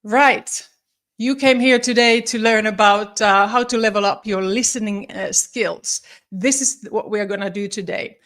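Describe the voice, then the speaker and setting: A woman's voice: medium pitch, fairly even intonation, moderate pace, a bit bright. A woman from Finland in her forties, a psychologist and leadership coach, giving a live-streamed talk with many ums and restarts. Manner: friendly, many ums, restarts, slightly formal phrasing.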